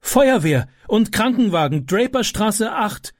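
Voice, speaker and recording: A man's voice: sits high, animated, a little slow, no echo, clean studio sound, voice pushed up. A man doing a firm commanding mother. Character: determined, capable mother taking charge.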